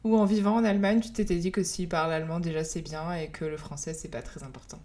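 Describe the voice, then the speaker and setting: A woman's voice: lowish voice, fairly even intonation, quick pace, a little mellow. Woman interviewing casually. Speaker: podcast host, interviewer.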